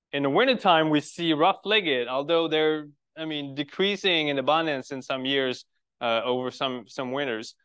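A man's voice: fairly high pitch, a bit bright, clear, resonant tone. French-Canadian man giving a lecture. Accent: Quebec French. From Quebec. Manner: friendly, rhetorical questions, restarts phrases. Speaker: biologist giving a public talk. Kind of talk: conference lecture to a birding club. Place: lecture room.

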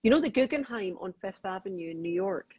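A middle-aged Scottish woman with a Glaswegian accent, talking quickly and telling stories in a casual interview over a video call. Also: mid-range voice, animated, husky, narrow, tinny audio. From Scotland. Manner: chatty storyteller, quick, laughs often. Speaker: crime novelist guest.

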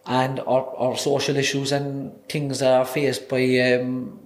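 A man's voice: medium pitch, completely flat delivery, unhurried. A man in his thirties with a Cork Irish accent, a podcast guest chatting casually and rambling through stories with many restarts. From Ireland. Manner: rambling storyteller, many restarts and repeated words. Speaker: podcast guest, singer-songwriter. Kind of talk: casual chat. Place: small podcast studio indoors. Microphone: desk mic on boom arm.

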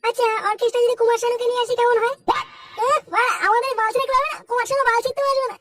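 A performer voicing an excitable, cheeky young male sidekick in a cartoon comedy, chattering eagerly. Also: very high voice, rapid-fire, far from the mic, slight background noise.

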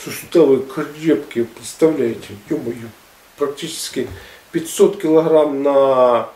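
A man in his sixties talking casually to camera in a halting, emphatic, colloquial way. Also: mid-range voice, warm tone. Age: 60s. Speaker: health and fitness vlogger. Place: furnished living room at home, indoors. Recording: echoey room, camera at a distance.

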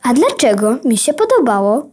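A woman's voice: a little high, very animated, normal speed, a little mellow, slightly rough, soft background music. A woman playing a curious, frank young girl in a children's audio drama, with a childlike, questioning way of talking. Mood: earnest.

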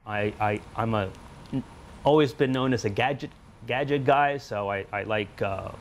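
A man's voice: on the low side, a little slow, slight background noise. A middle-aged man, a research scientist who grew up in the US, talking casually about his lab work with frequent fillers and restarts. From Taiwan, grew up in the US. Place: indoor laboratory.

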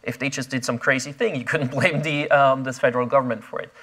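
A young man, speaking onstage at a conference, quick and restless with many fillers and restarts, recorded on a lapel mic. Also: medium pitch, fairly even intonation, sharp tone. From Austria. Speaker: invited speaker. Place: conference stage before an audience.

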